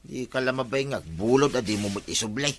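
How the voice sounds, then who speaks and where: A man's voice: mid-range voice, animated, a little fast, fairly bright tone. A man playing a grumbling, shirking village husband who bickers and complains in an Ilocano comedy drama. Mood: bickering.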